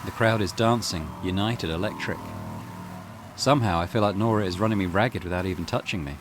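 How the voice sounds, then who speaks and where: A man's voice: sits low, fairly quick, background noise. A man hosting a let's play, reading visual novel text aloud expressively with casual comments. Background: loud game music.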